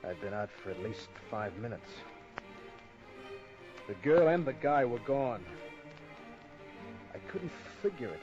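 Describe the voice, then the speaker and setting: An actor playing a tough, wisecracking private detective in a clipped, dry, world-weary manner, in vintage radio noir style. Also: male voice, medium pitch, animated, a little slow, pauses often, darkish tone, soft background music, lightly acted. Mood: terse, brooding. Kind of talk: 1950s radio noir acting and narration. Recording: dull vintage radio recording.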